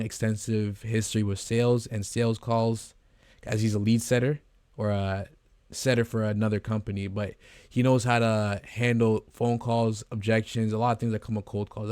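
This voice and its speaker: A man's voice: on the low side, darkish tone, no echo, clean studio sound. An eighteen-year-old man from Canada talking casually to camera in a rambling, earnest way, with many fillers and restarts. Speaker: vlogger, agency co-founder. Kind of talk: casual talking-head vlog.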